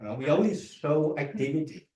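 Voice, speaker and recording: A man with a Vietnamese accent speaking as an academic presenter, answering questions in a halting way with repeated words. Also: a little high, expressive, darkish tone. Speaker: academic researcher, guest speaker. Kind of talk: conference talk and audience Q&A. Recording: echoey room, distant microphone.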